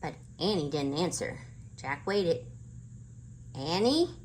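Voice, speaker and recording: A woman storytime host with a slightly low voice and animated intonation. She reads to children at a slow pace, with faint noise behind her. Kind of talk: children's storybook read-aloud.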